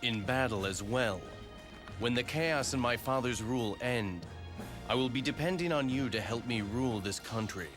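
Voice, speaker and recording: A man's voice: medium pitch, normal speed, close to an everyday voice. An actor playing a haughty young male lord in a video game cutscene, speaking in a formal, condescending way. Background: game music score.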